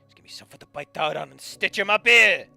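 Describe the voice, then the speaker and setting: A man voicing a bloodied young male pit fighter who shouts defiantly through his pain. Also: high-pitched, expressive, quick pace, gravelly, soft background music, voice pushed up, full character voice.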